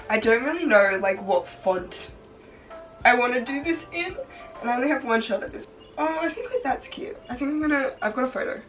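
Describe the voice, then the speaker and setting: A teenage girl, her voice hoarse and congested, chatting upbeat with lots of "like" fillers as she makes a craft project on her bed. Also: fairly high pitch, recorded from a distance, rough recording. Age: teens. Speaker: vlogger. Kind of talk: casual chat while crafting. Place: bedroom, sitting on her bed.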